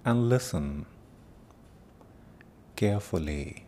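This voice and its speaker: A man leading a guided meditation as teacher, giving soft-spoken instructions with long pauses. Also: animated. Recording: noisy with low rumble.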